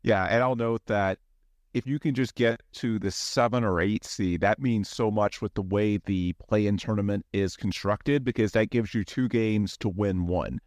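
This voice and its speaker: A low-pitched male podcast host who explains sports matters in a measured, orderly way. The voice is close and dry, with no room sound. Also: darkish tone, no echo. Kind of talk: podcast interview, sports chat.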